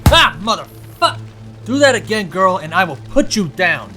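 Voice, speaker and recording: A man's voice: high voice, sing-song, normal speed, sharp tone, soft background music, full character voice. A man playing a hostile, jumpy cop in an audio drama, barking threats and commands. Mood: threatening, angry. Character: hostile, jumpy, foul-mouthed American small-town male cop.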